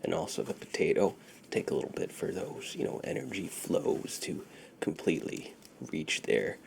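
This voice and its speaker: Super gravelly low guy, breathy asmr roleplay, half whispered, voice moves around a lot. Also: big pitch swings, slight background noise. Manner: unhurried, reassuring, playing a friendly dealer. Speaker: ASMR performer. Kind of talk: soft-spoken ASMR roleplay.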